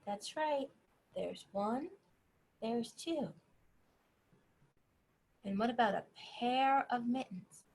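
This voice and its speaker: A woman reading a bedtime story to young children, gently encouraging them and pausing to ask questions. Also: fairly high pitch, lively intonation, husky, low-quality recording.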